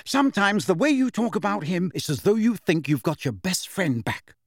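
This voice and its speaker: A man's voice: sits high, sing-song, normal speed, dry recording, clean studio sound, voice pushed up, heavily acted. A man voicing a fierce, anxious middle-aged mother in a storybook, indignant and scolding.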